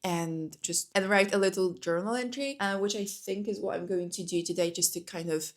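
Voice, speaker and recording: A woman's voice: mid-range voice, normal speed. A young woman whose first language is Polish, vlogging casually to camera from home, chatty, with many fillers and restarts. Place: indoors at home.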